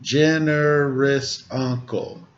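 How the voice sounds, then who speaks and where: A man voicing a polite little panda nephew in a storybook, speaking in deliberate, poem-like phrases. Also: mid-range voice, fairly even intonation, muffled recording.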